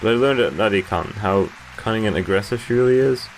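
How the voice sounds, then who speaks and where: A man's voice: lowish voice, fairly quick, faint music behind, poor audio. A man in his twenties hosting a playthrough, reading game narration aloud with casual asides. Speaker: gaming video host. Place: indoor room, webcam in corner.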